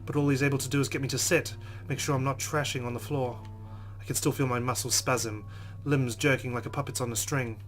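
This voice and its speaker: A man hosting a let's play reads a visual novel's text aloud with dramatic emphasis. His voice sits at an ordinary pitch. Game music plays clearly behind him. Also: steady tone, brisk, hardly any pauses, fairly bright tone. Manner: dramatic reading.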